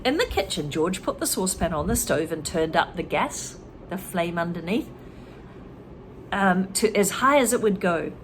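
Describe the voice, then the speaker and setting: Lively female storyteller-teacher, reads and explains vocabulary, light room noise. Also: lowish voice, moderate pace. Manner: lively, explains words to learners. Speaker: reader and English teacher. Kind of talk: children's story read aloud with explanations.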